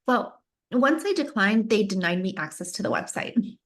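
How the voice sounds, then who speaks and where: A woman's voice: mid-range voice, animated, normal speed, fairly bright tone, natural voice. A woman playing a young female pageant contestant in a screenplay table read. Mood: casual, evasive.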